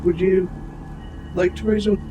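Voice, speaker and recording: Man playing a formal, higher-voiced robot soldier. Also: flat delivery, muffled recording. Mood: calm, attentive. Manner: formal, precise, measured phrasing. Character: aging male military robot soldier, formal, gently protective caretaker. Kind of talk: scripted audio roleplay spoken to the listener. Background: music.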